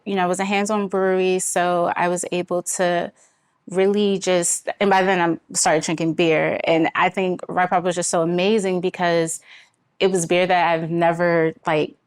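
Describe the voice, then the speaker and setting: A woman's voice: fairly low pitch, flat delivery, moderate pace, smooth, no room sound, studio quality. A woman telling her story as an interview guest in an informal way, often saying "like" and "you know". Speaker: podcast guest, brewery founder.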